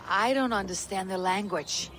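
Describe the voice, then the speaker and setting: A woman's voice: medium pitch, normal speed, a bit bright, slight background noise, close to an everyday voice, rough recording. Woman playing a curt, stern Viking warrior woman. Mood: stern, measured. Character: stern, resolute female Viking warrior, young adult. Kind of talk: video game cutscene acting.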